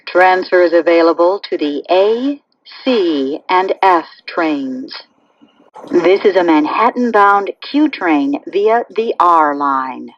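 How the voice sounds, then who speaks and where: A woman reading scripted automated train announcements in measured, segmented phrases. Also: fairly low pitch, expressive, dull audio.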